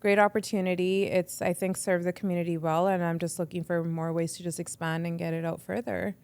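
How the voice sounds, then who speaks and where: A young woman talking casually as a podcast guest. She speaks in a chatty, quick way, says "like" often, and acts out her own thoughts. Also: on the low side, flat delivery, vocal fry, darkish tone, clear, resonant tone, dry recording. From Canada. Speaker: guest, radio entrepreneur.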